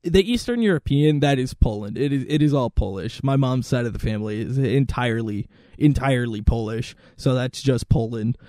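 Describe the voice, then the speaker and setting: A man's voice: medium pitch, expressive, brisk, hardly any pauses, warm tone, dry recording, clean studio sound. A young man, chatting casually as a podcast co-host. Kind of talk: casual chat.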